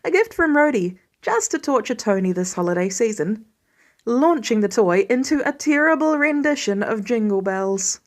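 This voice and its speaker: A woman reading fan fiction aloud as an expressive audiobook-style narrator. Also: on the high side, normal speed. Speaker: podfic reader.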